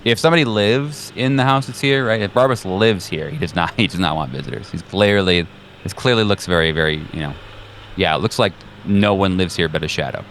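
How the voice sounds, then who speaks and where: Man, deep voice, game master, improvised scene narration, conversational, halting, searching for words. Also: a bit bright, slight background noise.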